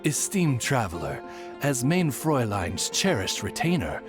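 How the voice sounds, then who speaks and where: An actor playing a refined, formal raven retainer to a princess in an anime game, with butler-like diction. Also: male voice, mid-range voice, sing-song, measured pace. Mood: formal pride. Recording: music behind the voice.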